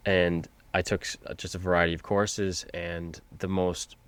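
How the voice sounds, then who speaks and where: Deep-voiced Canadian man, halting. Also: little pitch movement, fairly bright tone, smooth. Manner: halting, with many restarts. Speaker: guest, teacher. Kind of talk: casual conversation.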